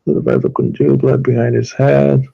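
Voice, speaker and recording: A man of middle pitch speaks haltingly, stacking restarts while he argues. He often stops mid-sentence and begins again. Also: little pitch movement, gravelly, slightly rough, highs cut off. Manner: hesitant, many fillers and restarts. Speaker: debate guest. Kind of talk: heated online debate.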